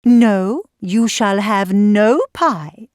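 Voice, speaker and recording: A woman's voice: medium pitch, drawn-out words, heavy background noise. A woman voicing a stern but fond mother cat, exclaiming and admonishing in a nursery rhyme. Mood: scolding.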